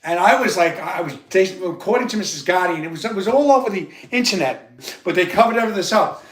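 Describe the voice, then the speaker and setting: A man with a loud voice hosting a livestream, telling a rambling personal story with halting restarts and repeated words. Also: sits high, lively intonation. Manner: repetitive, halting, many restarts. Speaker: livestream host. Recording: roomy sound, far from the mic.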